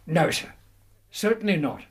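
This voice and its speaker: An actor playing a deferential, guarded manservant in a radio drama, formal in manner. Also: male voice, fairly high pitch, lively intonation, a little slow, slightly rough. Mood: defensive.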